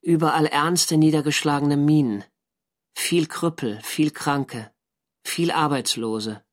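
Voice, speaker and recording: An actor playing a reflective naval lieutenant, narrating a war diary in a measured, sober literary style. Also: male voice, on the high side. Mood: melancholy, sober. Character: reflective German naval lieutenant recalling the war's end.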